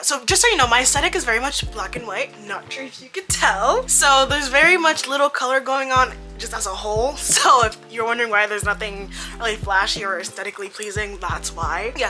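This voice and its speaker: A woman's voice: on the high side, animated, fairly quick, hardly any pauses, sharp tone, soft background music. A young woman whose first language is French, talking casually through a phone tour with lots of likes and fillers. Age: young adult. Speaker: YouTuber.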